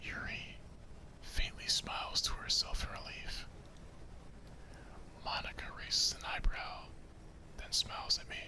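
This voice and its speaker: A man whispering the narration of a visual novel in a hushed ASMR style. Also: a little slow, pauses often.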